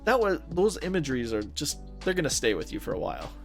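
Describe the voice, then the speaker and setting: A young man with a fairly high voice chats eagerly as a podcast co-host. He piles up "like" as he gushes about what he enjoyed. Faint music hums in the background. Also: big pitch swings, fast talker. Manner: enthusiastic, peppered with "like". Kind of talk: casual chat.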